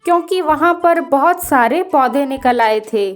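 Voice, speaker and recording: A woman's voice: sits high, expressive, a little slow, soft background music. A woman narrating a scripted children's story.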